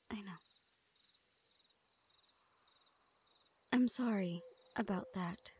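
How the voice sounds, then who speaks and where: A woman playing a teasing, protective shadow-folk thief in an intimate fantasy audio roleplay, speaking softly and often dropping toward a murmur. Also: fairly high pitch, breathy edge. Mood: fond, teasing.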